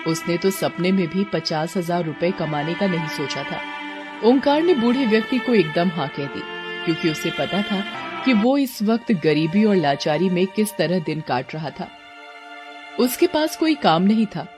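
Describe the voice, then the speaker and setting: A woman's voice: lowish voice, lively intonation, normal speed. A woman giving scripted narration of a moral story as the storyteller. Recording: prominent music under the voice.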